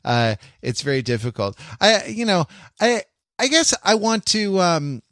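A middle-aged American man co-hosting a podcast, talking in a reflective, halting way and often interrupting himself. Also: high-pitched, very animated, a bit bright, dry recording, studio quality. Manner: halting, self-interrupting, introspective. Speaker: podcast co-host. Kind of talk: reflective casual podcast discussion.

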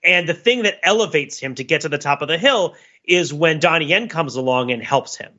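A man's voice: a little high, lively intonation, fairly quick, bright, forceful tone, lo-fi sound. A man co-hosting a podcast, talking through a film in a casual, rambling, analytical way. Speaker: podcast co-host.

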